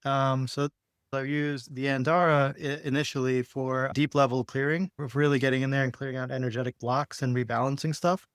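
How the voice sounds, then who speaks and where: A man with a very clear, smooth voice, recorded close and dry. He talks in a casual, laid-back way, like a spiritual podcast host guiding someone through a healing session. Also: mid-range voice, level tone, brisk, no echo. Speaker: podcast host and energy healer.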